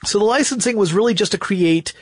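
A man's voice: high voice, fast, slightly rough, dry recording, studio quality. A man talking as a podcast host, explaining step by step in a casual, enthusiastic storyteller's way.

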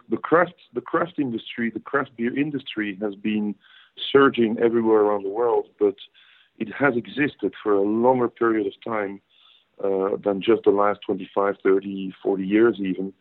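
A middle-aged man with a Belgian accent, talking conversationally as an interview guest and explaining things with repeated phrases for emphasis. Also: lowish voice. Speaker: interview guest, brewing and hops professional. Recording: tinny phone-like band-limited line.

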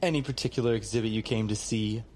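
Actor as a fussy, polite museum guide. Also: male voice, fairly low pitch, fast, a little mellow, a little hiss or hum, lightly acted. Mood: polite, eager. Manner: formal, polite, hesitant when flustered. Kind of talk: audio drama acting.

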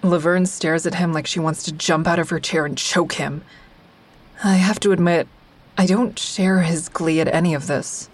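A woman's voice: lowish voice, fairly even intonation, moderate pace, natural voice. A woman acting as the wry, observant first-person narrator of an audio drama, a member of a support group. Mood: wry, uneasy. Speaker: narrator and character.

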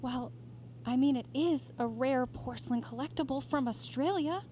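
A woman's voice: high voice, moderate pace, dark tone, slight background noise, close to an everyday voice. An actress playing a fretful, restrained mother in an audio drama. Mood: wistful, restrained. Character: fretful mother fond of her precious collectibles.

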